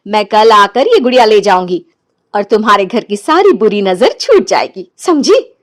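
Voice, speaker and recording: A woman voicing a sly, gloating witch who poses as a healer, coaxing and boastful, for an animated moral story. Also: medium pitch, normal speed, natural voice.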